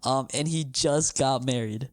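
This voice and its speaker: A man's voice: medium pitch, a little slow, dry recording, studio quality. A young man, a league commissioner, chatting casually as a guest with easygoing affirmations. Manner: easygoing, with repeated affirmations and laughs. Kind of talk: casual chat.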